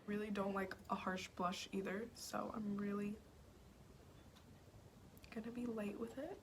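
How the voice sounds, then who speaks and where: A young woman with a raspy voice, chatting casually through a makeup tutorial and often dropping to a whisper as she works. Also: mid-range voice, fairly even intonation, measured pace, background noise. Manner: chatty, slips into whispers while working. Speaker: beauty vlogger. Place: home, at a mirror.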